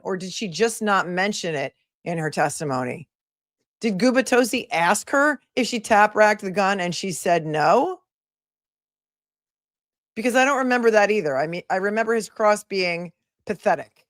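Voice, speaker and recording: A woman's voice: mid-range voice, no room sound, studio quality. A woman hosting a casual legal commentary livestream, speaking in opinionated, skeptical, conversational asides. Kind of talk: casual live trial commentary.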